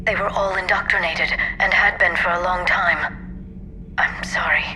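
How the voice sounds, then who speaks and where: Gentle alien scholar in a game, super bright radio-ish filter, careful n apologetic, light bg noise. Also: female voice, fairly low pitch, fairly even intonation, measured pace, rough recording. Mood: regretful. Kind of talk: video game character dialogue.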